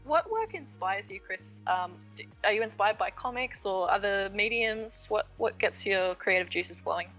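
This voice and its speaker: A woman's voice: medium pitch, lively intonation, normal speed, a bit bright, faint music behind, poor audio. A woman interviewing a guest in a casual, conversational style. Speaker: interviewer on a comics show.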